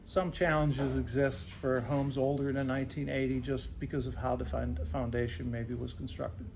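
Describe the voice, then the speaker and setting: A man's voice: mid-range voice, flat delivery, normal speed, vocal fry. A man answering interview questions as a home-services specialist, explaining things in simple terms and often checking in with "right?". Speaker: radon remediation specialist being interviewed.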